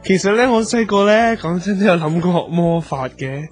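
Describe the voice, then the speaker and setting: An actor with a Hong Kong Cantonese accent playing a naive, chatty, besotted gentleman in a radio comedy. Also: high voice, expressive, moderate pace. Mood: earnest, rambling. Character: excitable boyish gentleman besotted with a bossy magic girl. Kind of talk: radio comedy drama acting. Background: music.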